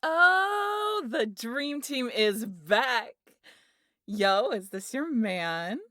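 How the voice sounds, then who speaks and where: A woman's voice: a little high, extremely slow, no room sound, heavily acted. A woman playing a brash, teasing, big muscular aunt in an ASMR audio drama, a swaggering storyteller. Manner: swaggering, foul-mouthed, animated storyteller.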